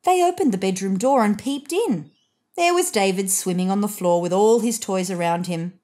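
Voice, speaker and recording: A woman narrating a children's picture book expressively, with deliberate emphasis on key phrases. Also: medium pitch, mellow.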